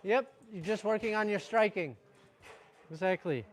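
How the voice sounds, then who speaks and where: High-voiced British kung fu instructor. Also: male voice, normal speed. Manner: energetic, calls out group instructions. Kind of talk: leading a group class. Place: training ground at a mountain retreat.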